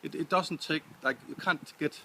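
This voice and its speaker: A man from Denmark with a Danish accent, answering interview questions in English with many pauses and restarts. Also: on the high side, sharp tone, slight background noise. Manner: hesitant, many restarts and fillers. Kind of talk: casual interview.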